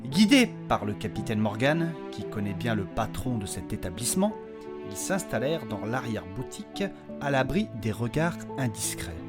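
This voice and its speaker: Animated male narrator over music. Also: mid-range voice, normal speed. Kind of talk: scripted storybook narration. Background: loud music.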